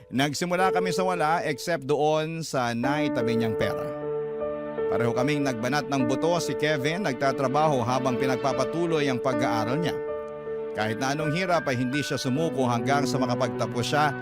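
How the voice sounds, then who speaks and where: A man's voice: medium pitch, normal speed. A man with a Filipino accent reading a listener's letter as a dramatic radio narration. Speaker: radio show host and story reader. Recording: loud music under the voice. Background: loud instrumental music.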